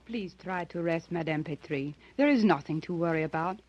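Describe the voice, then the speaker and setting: An actress playing a nervous, dutiful French nursing sister in a 1930s radio drama, deferential and breathlessly dramatic. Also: female voice, on the low side, moderate pace, noisy background, lightly acted. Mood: reassuring. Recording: dull, muffled vintage radio recording.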